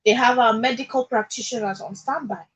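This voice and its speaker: High, husky woman in radio discussion. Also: a little fast, low-quality recording. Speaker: radio talk show speaker.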